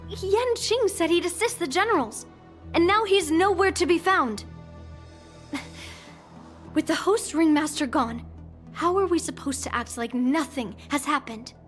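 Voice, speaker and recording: A woman's voice: extremely high pitch, unhurried, long pauses, faint music behind. An actress playing an earnest, proud young woman in an anime-style video game, doubtful and questioning in manner. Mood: indignant doubt.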